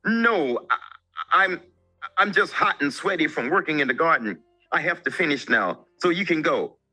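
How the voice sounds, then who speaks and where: A man's voice: fairly high pitch, lively intonation, normal speed, pushed and bright, soft background music, pitched above the performer's own voice, exaggerated voice. A man voicing an eager, sneaky young male spider in a children's folktale. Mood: nervous, cheerful.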